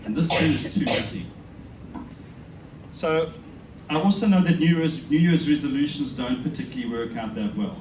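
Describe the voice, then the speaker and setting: A man's voice: fairly high pitch, moderate pace, pauses often. A South African man giving an informal religious talk to an audience, conversational and self-deprecating, often posing rhetorical questions. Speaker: speaker giving a talk at a synagogue. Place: indoors in a synagogue, before an audience. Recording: echoey room, distant mic, noisy background.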